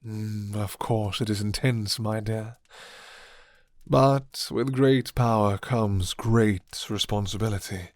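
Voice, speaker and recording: Vampire lord male performer: seductive, menacing, commanding; unhurried formal delivery, breathy half-whispers, dry ASMR sound. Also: on the low side, no room sound. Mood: persuasive. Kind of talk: ASMR roleplay.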